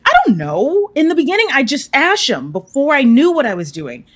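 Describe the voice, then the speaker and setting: A woman's voice: sits high, wildly swooping pitch, a little fast, a bit bright, exaggerated voice. A woman playing a brash, generous woman with dangerous powers in an audio drama, speaking bluntly and informally with wry humor. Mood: frank, animated. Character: brash, generous woman who can turn threats to ash.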